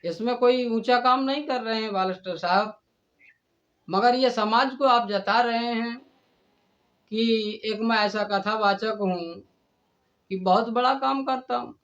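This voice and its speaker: Guy with a pretty high voice lecturing and scolding someone like hes giving a speech, muffled lofi sound. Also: measured pace, a bit bright. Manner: scolding, indignant, oratorical with repeated direct address. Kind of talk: admonishing monologue addressed to a rival and the community.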